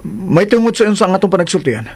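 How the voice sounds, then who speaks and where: An actor with a Cebuano accent playing a secretive, placating unfaithful husband who speaks earnestly in a radio drama. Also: male voice, sits high, expressive, fast, a little mellow, husky, exaggerated voice. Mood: placating, furtive.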